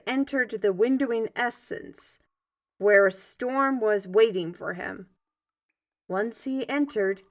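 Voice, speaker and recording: A woman's voice: mid-range voice, close to an everyday voice. A woman playing a weary, wry artist in a fantasy audio drama, musing and conversational as she tells tales and explains plans. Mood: musing, storytelling. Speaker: voice actor in a fantasy audio roleplay. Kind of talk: in-character storytelling and dialogue in audio drama.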